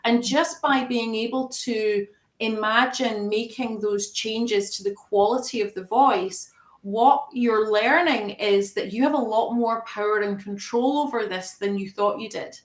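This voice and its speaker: A woman coach talks as an interview guest, calm and measured, reaching for everyday analogies. Her voice is recorded in an echoey room. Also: fairly high pitch.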